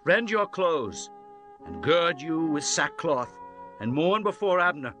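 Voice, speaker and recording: A man's voice: on the high side, sing-song, takes it slowly, a bit bright, full character voice. A man playing a grave, commanding, grieving biblical king, declaiming solemnly in a dramatized scripture reading. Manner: solemn, impassioned, archaic declamation. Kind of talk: dramatized scripture, declamatory radio-play acting. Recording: music bed behind the voice. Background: instrumental music.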